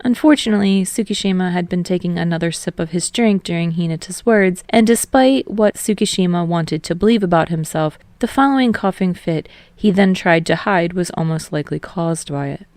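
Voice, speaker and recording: A woman's voice: on the low side, fairly quick, hardly any pauses, darkish tone, no room sound. A woman reading a story aloud as an audiobook narrator, in scripted third-person prose.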